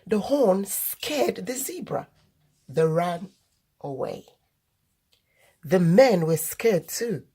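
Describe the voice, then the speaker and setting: A woman reading a children's story aloud as host, in deliberate, expressive narration. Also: mid-range voice, husky.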